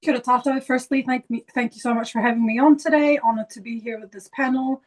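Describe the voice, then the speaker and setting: A woman's voice: a little high, steady tone, fast, a little mellow. A woman from Iraq introducing herself as a panelist on a webinar, earnest, with slight stumbles.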